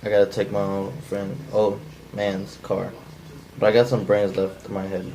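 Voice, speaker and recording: A man's voice: fairly low pitch, moderate pace, a crowd in the background, lightly acted, low-quality recording. A man reading the part of a menacing, bitter drifter in an unpolished script table read. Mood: threatening, tired. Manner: flat, unpolished amateur line reading. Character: menacing, bitter, down-on-his-luck male drifter intruder.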